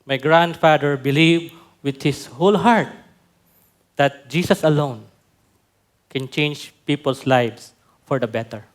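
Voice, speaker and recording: A male pastor giving a conference talk, telling a personal story in a slow, reflective way. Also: a little high. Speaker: pastor, speaker. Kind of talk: sermon talk.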